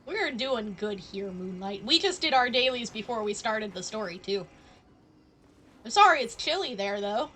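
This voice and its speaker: A woman's voice: fairly high pitch, expressive, normal speed, sharp tone, a little hiss or hum. A woman live streaming a game, chatting casually and playfully with viewers. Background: game music.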